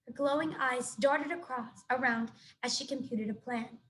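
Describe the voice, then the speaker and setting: A woman teacher reads a children's novel aloud, telling the story with expression. Her voice is fairly high. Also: normal speed, a bit bright, a little hiss or hum. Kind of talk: children's book read-aloud.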